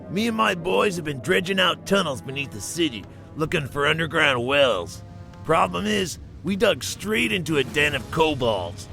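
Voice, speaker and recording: A brash goblin engineer with a sharp, raspy voice that bounces up and down lively. He talks like a chummy hustler, with faint game music behind him. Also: fairly high pitch, full character voice. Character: fast-talking goblin chief engineer, brash.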